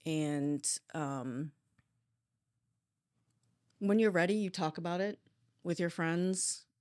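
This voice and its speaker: A woman's voice: fairly low pitch, a little mellow, dry recording, studio quality. A woman who works as a police dispatcher, answering interview questions openly and reflectively, with frequent restarts. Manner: open, reflective, halting restarts.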